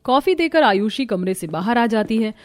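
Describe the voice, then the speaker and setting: Expressive woman narrating a cartoon story. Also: a little high, moderate pace.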